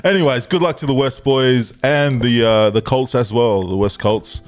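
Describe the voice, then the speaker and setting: A man's voice: medium pitch, normal speed, faint music behind. A young Australian man co-hosting a podcast, chatting in laid-back, crude banter with a lot of swearing. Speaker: podcast co-host. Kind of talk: casual banter.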